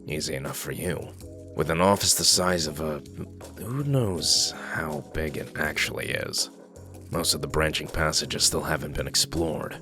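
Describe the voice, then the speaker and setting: A man playing a gruff, sardonic sheriff with a dry, grumbling manner in a comic dub. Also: rumbling low, unhurried, sharp tone, faint music behind, exaggerated voice. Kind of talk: comic dub character acting.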